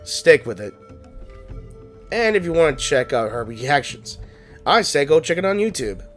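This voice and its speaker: A congested game streamer with a mid-pitched voice that moves around a lot. The commentary is casual, playful and teasing at an unhurried pace, with faint music behind. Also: very animated. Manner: playful, teasing, reads dialogue aloud. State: congested, tight-chested.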